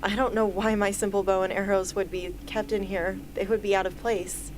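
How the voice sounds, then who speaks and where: Radio drama heroine: blunt, guarded teen girl archer, medium pitch, faint background noise; woman acting. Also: little pitch movement, normal speed, natural voice. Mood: dry, guarded.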